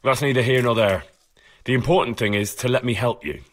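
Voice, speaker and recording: A man's voice: medium pitch, fairly quick, natural voice. A man playing an earnest, persuasive young medieval investigator in a video game, polite, probing and firm. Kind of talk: video game cutscene acting.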